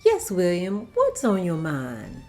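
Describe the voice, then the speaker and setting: A woman's voice: fairly low pitch, huge pitch swings, slow pace, mellow, faint music behind, full character voice. Miss Van Loo, a gracious estate mistress, as a woman reads her. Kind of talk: amateur table read.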